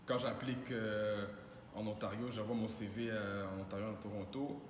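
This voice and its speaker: A man's voice: on the low side, moderate pace, echoey room, long reverb tail, a little hiss or hum. A man with a Quebec French accent, hosting a casual podcast chat and dropping English phrases into his talk. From Montreal, Quebec. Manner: relaxed, mixes in English phrases. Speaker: podcast host.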